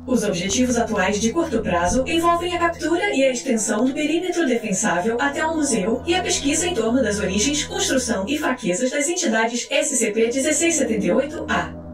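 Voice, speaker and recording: An echoey, high-pitched woman's voice narrates over soft music. She reads a formal script in a measured, clinical way, like documentary narration. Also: animated.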